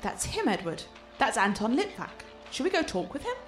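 A woman's voice: a little high, big pitch swings, brisk, faint music behind. A woman playing a cultured, confident art scholar and teasing fiancée in a radio play, articulate and playful. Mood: enthusiastic.

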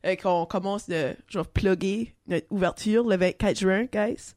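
A woman's voice: medium pitch, steady tone, unhurried, darkish tone. A woman with an Acadian French accent from New Brunswick, a comedian and podcast guest, chatting casually and telling jokey stories with some English words mixed in. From Acadian New Brunswick, Canada. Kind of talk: casual chat.

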